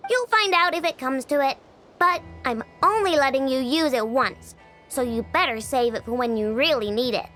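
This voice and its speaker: A woman's voice: sits high, animated, moderate pace, bright, forceful tone. An actress playing a cocky, grudging young girl inventor in a video game, huffy and self-assured. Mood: proud, cautioning. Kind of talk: animated video game voice acting. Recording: music under the voice.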